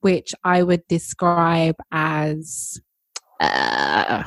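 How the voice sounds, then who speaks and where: A woman's voice: low voice, huge pitch swings, drawn-out words, gravelly, no room sound, clean studio sound. A woman co-hosting a podcast, chatting casually with many fillers. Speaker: podcast co-host. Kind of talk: casual chat.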